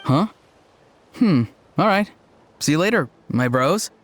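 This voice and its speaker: An actor playing an earnest, odd young tribesman in a video game, deadpan and matter-of-fact. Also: a little high, takes it slowly, slight background noise. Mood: puzzled.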